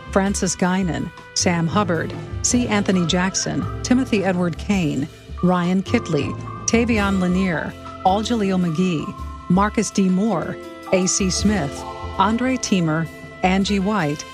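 Female announcer, slightly low register, scripted credit read over a music bed. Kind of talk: scripted narration. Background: music behind the voice.

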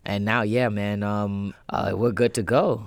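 Smooth-voiced man, halting and chatty. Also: lowish voice, dry recording, studio quality. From United States. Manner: hesitant, full of ums, lively when storytelling. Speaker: musician guest. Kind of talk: casual radio interview. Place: radio studio.

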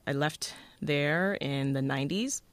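A woman from Jamaica, a new radio host, telling stories from her childhood in a relaxed, upbeat interview. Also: low voice, unhurried, no echo. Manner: upbeat, laughs often, warm storytelling. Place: radio studio.

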